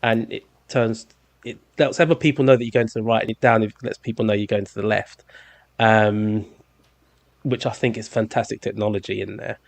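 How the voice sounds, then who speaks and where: A man's voice: lowish voice, fairly even intonation, fairly quick, gravelly, no echo. Chatty London man with a dry, close sound. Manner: rambling, jokey, many ums. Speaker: podcast host. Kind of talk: casual chat.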